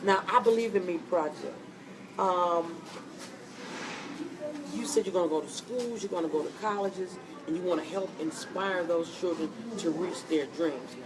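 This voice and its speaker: A woman hosting an interview in a coffee shop, animated and preachy, encouraging her guest. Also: on the low side, moderate pace, noisy background. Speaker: host. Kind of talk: interview chat. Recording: distant mic in busy cafe. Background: cafe crowd chatter.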